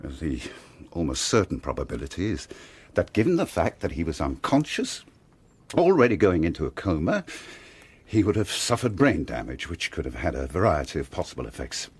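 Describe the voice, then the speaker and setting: An actor playing a bitter, anguished doctor and father in a radio drama, speaking in measured, formal, emphatic phrases. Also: extremely low pitch, sing-song, darkish tone, husky, heavily acted. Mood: guarded, defensive.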